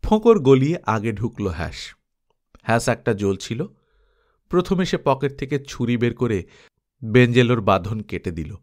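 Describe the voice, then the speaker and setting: A male storyteller reads dramatic scripted fiction. His delivery is expressive and full of suspense. The voice is medium in pitch, with very animated rises and falls. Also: normal speed, pauses often. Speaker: audiobook storyteller.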